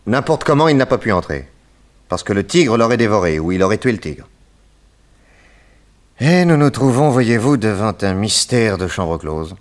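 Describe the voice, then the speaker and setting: An actor playing a calm, methodical male detective in a radio serial, reassuring and measured as he explains. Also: on the low side. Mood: assured, reasoning.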